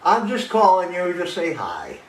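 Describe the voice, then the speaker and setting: A man's voice: high voice, wildly swooping pitch, normal speed. A man hosting in a casual, conversational style while talking with someone on the phone. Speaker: host, house caretaker making a call. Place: outdoors on a front porch. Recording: echoey, distant, faint noise.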